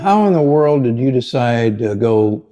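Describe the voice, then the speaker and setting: An older man hosting a podcast, asking relaxed, friendly questions of his guest. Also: medium pitch, measured pace, dull audio, over loud music. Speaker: podcast host. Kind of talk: conversational interview hosting.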